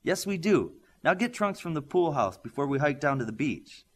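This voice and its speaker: Guy playing gracious mom hosting young heroes, practical, pitched up a bit. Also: very animated, normal speed. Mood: reassuring. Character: gracious, unfazed adult mother hosting young superheroes.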